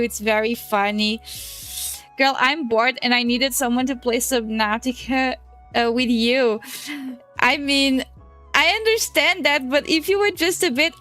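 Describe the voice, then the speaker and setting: A woman's voice: high-pitched, fairly bright tone. A young woman with a Portuguese accent, a native Portuguese speaker, rambling in casual livestream chat, laughing often and swearing casually. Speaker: streamer. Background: faint music.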